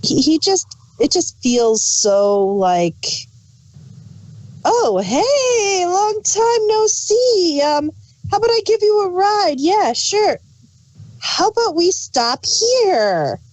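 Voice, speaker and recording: A woman's voice: very high-pitched, big pitch swings, takes it slowly, darkish tone, dry recording, poor audio. A woman co-hosting a casual review, playfully acting out imagined bits of dialogue as she comments. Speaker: podcast co-host reviewing audio dramas.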